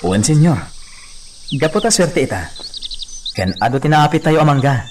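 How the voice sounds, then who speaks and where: A man's voice: on the high side, sing-song, moderate pace, a little mellow. A man playing a loyal, deferential hacienda foreman with a Filipino accent in a radio drama. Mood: respectful. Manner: respectful, deferential. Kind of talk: Ilocano radio drama acting. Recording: distant mic, faint insect noise.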